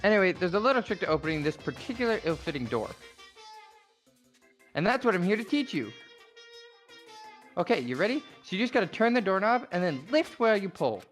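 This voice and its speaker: A woman's voice: mid-range voice, sing-song, a little fast, long pauses, faint music behind, natural voice. A woman reading visual novel dialogue aloud as a friendly, earnest teenage schoolgirl. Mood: cheerful, helpful.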